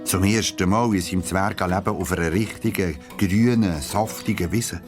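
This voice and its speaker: Man, low-pitched voice, children's story narrator, prominent guitar music behind. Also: normal speed. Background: loud guitar music.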